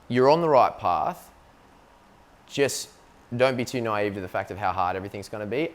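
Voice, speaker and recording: An Australian man, a business co-founder, talking casually in an interview and filling his speech with "like" and "you know what I mean". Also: lowish voice. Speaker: company co-founder, guest.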